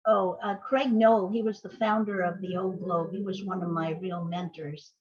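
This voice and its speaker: A woman's voice: lowish voice, steady tone, moderate pace, darkish tone. An older woman who works as a playwright, giving reflective, anecdotal answers in a conversational interview. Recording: dull, lo-fi voice recording.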